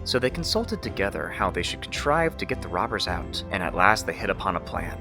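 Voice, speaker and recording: A man narrating a fairy tale as a storyteller, in an expressive, measured way. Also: low-pitched, vocal fry, music in the background.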